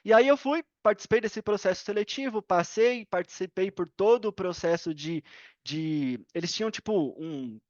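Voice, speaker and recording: High-voiced Brazilian man telling his story. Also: expressive, normal speed, dry recording, dull audio. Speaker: podcast guest. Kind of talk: casual interview storytelling.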